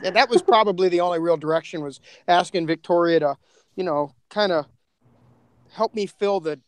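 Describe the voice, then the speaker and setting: Male podcast guest, highish voice, effusive slangy chatter, restarting phrases, dry recording. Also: normal speed, smooth, no echo. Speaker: podcast co-creator and panel guest. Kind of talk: casual chat.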